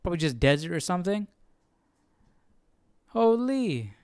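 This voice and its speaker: A man hosting a Bible study livestream, thinking aloud in a casual, informal way and often halting to search for words. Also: sits high, sing-song, darkish tone, clear, resonant tone, dry recording, clean studio sound.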